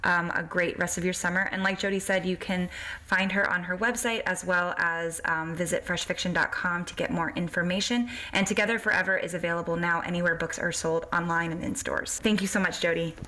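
A woman hosts a casual interview. Her voice sits a little low for a woman, and she speaks in an informal, conversational way. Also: fairly even intonation, fairly quick, sharp tone. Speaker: podcast host, interviewer.

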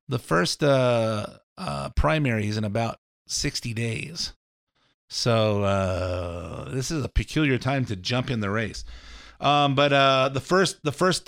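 A man's voice: mid-range voice, fairly bright tone, no echo, clean studio sound. A middle-aged man hosting a talk radio show with a slightly scratchy voice, rambling in a folksy way with fillers and restarts between ads for his mortgage business. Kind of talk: casual political talk radio monologue.

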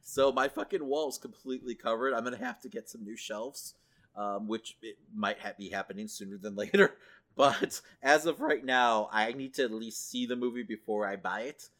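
A man's voice: medium pitch, normal speed, a bit bright. A middle-aged man co-hosting a casual podcast, chatting in a joking, loose way. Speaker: podcast co-host. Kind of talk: casual nerd culture podcast chat.

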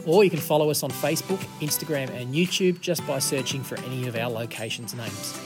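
A man with an Australian accent hosting a Bible podcast, his voice a little croaky, talking in a jovial, playful way. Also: mid-range voice, fairly quick, over music. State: slightly croaky voice. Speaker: podcast host. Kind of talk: casual Bible podcast chat. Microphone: studio microphone.

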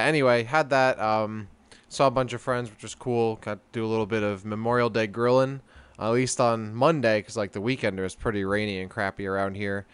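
A man's voice: lowish voice, a bit bright, clear, resonant tone, no room sound. A man in his late twenties co-hosting a gaming podcast, chatty and upbeat. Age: 20s. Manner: chatty and upbeat, quick to laugh. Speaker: podcast co-host. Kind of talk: casual gaming podcast chat.

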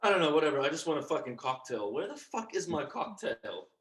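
A woman's voice: deep voice, big pitch swings, brisk. Woman playing a brash party gal, swooping delivery. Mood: bored, demanding. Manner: blunt, swearing, bossy. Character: brash, crude, man-hungry city party woman. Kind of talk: improvised comedy sketch.

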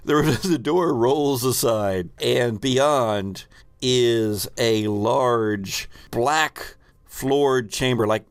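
A man with a mid-range voice hosts a tabletop role-playing game. He narrates at a slow pace, halting as he improvises, with an amused manner. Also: medium pitch, clear, resonant tone, no room sound. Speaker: game master and host.

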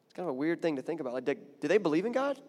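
A man's voice: on the high side, sing-song, racing, a little mellow, in a large hall. A man preaching a sermon in a chatty, conversational way, building to emphatic points and often repeating words. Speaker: pastor.

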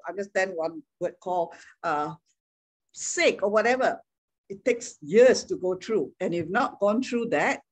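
Woman, Bible teacher, husky breathy voice, mid-pitched, keeps asking "okay?" and "right?", chuckles a lot. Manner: checks in with frequent "okay?" and "right?"; chuckles often between points. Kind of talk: teaching sermon.

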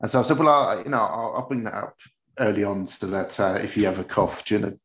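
A man's voice: lowish voice, quick pace, a bit bright. A man hosting a podcast interview in a casual, jokey and rambling conversational way. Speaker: podcast host. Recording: roomy, echoey sound.

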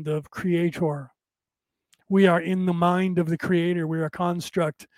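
Man, talk show host, hoarse, raspy, high voice, dry close sound. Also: a little mellow, no room sound. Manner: rambling, emphatic, addresses listeners directly. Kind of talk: late-night spiritual talk show monologue.

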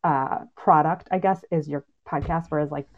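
A woman's voice: deep voice, fairly even intonation, moderate pace. A woman moderating a panel casually, with an informal, affirming way of talking. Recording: thin phone-like line.

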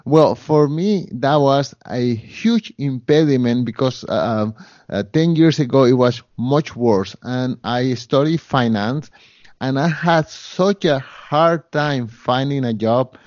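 A man's voice: mid-range voice, takes it slowly, darkish tone, dry recording, lo-fi sound. A man with a Spanish accent, a guest in an interview, talking earnestly with many fillers and restarts. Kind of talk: interview conversation.

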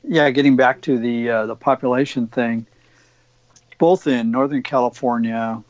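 A man's voice: mid-range voice, steady tone, moderate pace, dry recording, lo-fi sound. A man from Oregon co-hosting a casual discussion, rambling with many fillers and restarts.

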